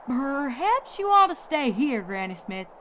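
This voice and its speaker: A woman's voice: sits high, big pitch swings, a little slow, fairly bright tone, a little hiss or hum, pitched above the performer's own voice, heavily acted. A woman voicing a protective, responsible young farm mare, a folksy and bossy but caring big sister in a story. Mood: brisk, directing.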